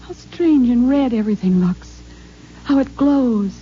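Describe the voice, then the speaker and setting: High-voiced devoted young heroine, vintage radio drama. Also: female voice, animated, unhurried, a little hiss or hum. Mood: weary, fearful. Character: devoted young woman fleeing an underground future society. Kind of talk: 1950s science fiction radio acting. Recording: dull, humming 1950s radio recording.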